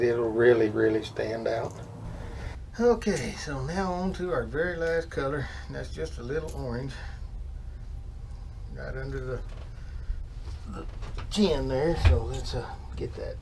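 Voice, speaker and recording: A man's voice: mid-range voice, vocal fry. A man, talking easygoing and folksy while demonstrating lure painting in an indoor workshop. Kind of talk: casual how-to demonstration. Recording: distant mic, noisy workshop.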